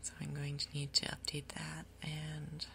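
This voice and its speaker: A woman doing soft-spoken ASMR game commentary in a breathy half-whisper, with a casual, rambling, unhurried manner. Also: low voice, monotone, a little hiss or hum. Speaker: gaming video host.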